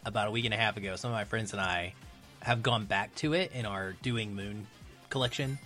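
A man's voice: on the low side, brisk, pushed and bright, soft background music. A middle-aged man speaking as a podcast guest in a casual discussion about films.

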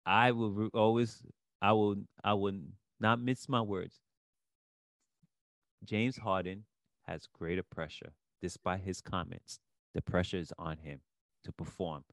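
A man's voice: low voice, fairly even intonation, measured pace, vocal fry, pauses often, no room sound. A man with a Haitian accent, co-hosting a podcast, arguing about sports with emphatic, rising outbursts. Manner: emphatic, rising to near shouts when worked up. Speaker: podcast co-host. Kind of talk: heated sports debate.

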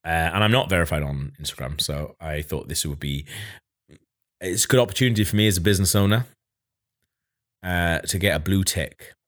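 A man with a slightly low voice hosts a casual podcast. He tells his story loosely, swears freely and puts on mocking voices for the people he quotes. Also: brisk, pauses often, fairly bright tone. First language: Welsh. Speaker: podcast host.

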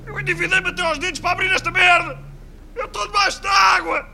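A man's voice: very high-pitched, steady tone, fast, creaky, bright, forceful tone, raised voice, slight background noise, heavily acted. A man playing a desperate, indignant record shop owner in a comedy sketch. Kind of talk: exaggerated comedy sketch acting.

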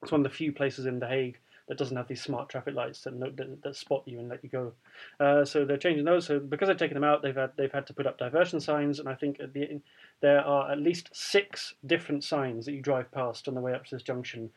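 A man's voice: medium pitch, fairly even intonation, brisk. A man co-hosting a news podcast, chatting casually and then reading news items, laughing easily. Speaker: podcast co-host. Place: lives in the Netherlands.

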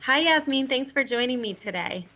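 A woman's voice: high-pitched, lively intonation, normal speed, bright, forceful tone, faint music behind. A woman hosting a podcast, reading a scripted intro and then chatting upbeat with her guest. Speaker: podcast host.

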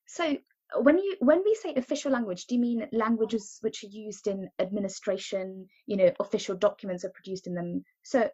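Female podcast co-host from India, Tamil native, husky with slightly dull sound. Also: mid-range voice, fairly quick, mellow. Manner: curious, asks many questions, frequent agreeing murmurs. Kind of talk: casual conversational podcast.

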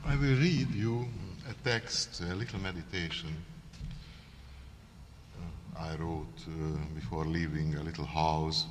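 A man with a very deep voice and a heavy Hungarian accent speaks slowly and hesitantly, filling gaps with "uh" as he introduces his own writing. His voice carries a long reverb in a church, with faint ambience behind. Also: slight background noise. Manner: hesitant, many fillers, slow and careful. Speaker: author. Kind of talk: halting introduction at a public reading. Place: church hall.

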